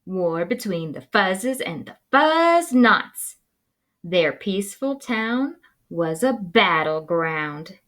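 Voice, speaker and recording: A woman reading a children's storybook dramatically and expressively, with deliberate pauses and hushed moments. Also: medium pitch, big pitch swings. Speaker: school librarian reading aloud.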